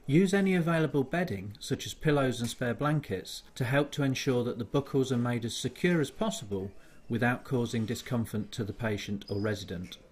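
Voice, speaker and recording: A man delivers a scripted instructional voice-over for staff training. His voice has a slightly husky edge. He moves through each step in a measured, formal way. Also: mid-range voice, a little mellow. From United Kingdom. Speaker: training video narrator.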